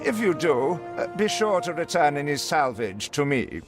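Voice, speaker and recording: An actor playing a cruel, greedy uncle in a video game, with a haughty, drawling delivery. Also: male voice, fairly high pitch, big pitch swings, normal speed, over music. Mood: contemptuous, impatient. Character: cruel, greedy, sneering uncle, a wealthy villain.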